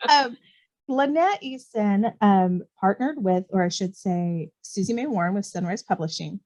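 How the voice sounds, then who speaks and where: A woman talks casually as an interview guest. Her voice sits at an average pitch, and she explains things in a conversational, unscripted way. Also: normal speed, darkish tone. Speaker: guest author.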